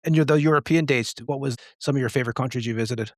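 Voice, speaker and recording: A man's voice: medium pitch, fast, dry recording, studio quality. A man hosting a casual music interview, asking informal, rambling questions. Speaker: podcast host interviewing a band.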